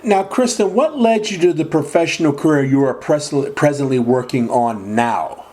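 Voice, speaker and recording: A man's voice: a little high, animated, normal speed, darkish tone. A man hosting an interview show, asking formal questions and often repeating them. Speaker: podcast host.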